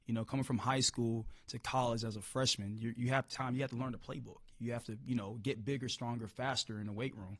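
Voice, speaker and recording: A hoarse-voiced man coaching football, answering press questions upbeat, often repeating words as he restarts. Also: mid-range voice, steady tone, quick pace, hardly any pauses, no echo. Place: media room.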